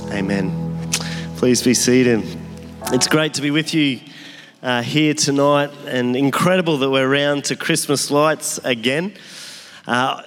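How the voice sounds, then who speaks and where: A man in his forties giving a sermon as pastor, opening with prayer and telling self-deprecating stories in a conversational way. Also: medium pitch. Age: 40s. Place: church hall. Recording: long hall reverb.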